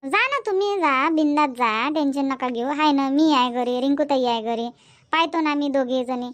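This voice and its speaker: A woman's voice: high voice, normal speed, a bit bright, dry recording. A woman voicing a chatty village mother in a cartoon comedy, speaking colloquial Varhadi Marathi in a homely way. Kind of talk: cartoon comedy dubbing, everyday family chat.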